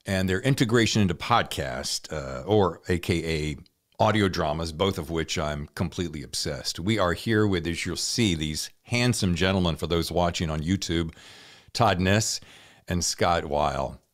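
A man's voice: sits low, a little slow. A middle-aged American man hosting a podcast in an upbeat, presenter-like style. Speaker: podcast host and thriller author. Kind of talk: upbeat scripted podcast narration and interview hosting.

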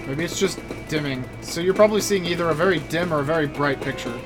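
A gaming video host giving casual, rambling, joking commentary, excitable and given to swearing. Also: medium pitch, fast, rough recording. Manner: excitable, with bursts of exclamation. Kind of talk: casual let's play commentary. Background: loud video game music.

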